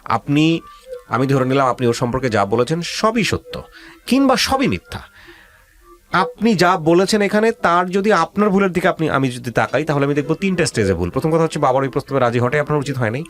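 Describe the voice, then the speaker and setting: Fast-talking Bangladeshi man hosting, music behind. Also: fairly high pitch, sing-song. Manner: insistent, questioning, sermonizing; mixes English phrases into speech. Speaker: radio show host. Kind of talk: advising a guest on a life-story show.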